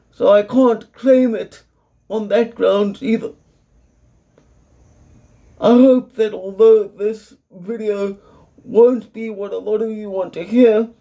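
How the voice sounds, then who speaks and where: A young man with a South African English accent, earnestly explaining his situation in a personal video monologue, measured and careful. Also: sits high, warm tone. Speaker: vlogger.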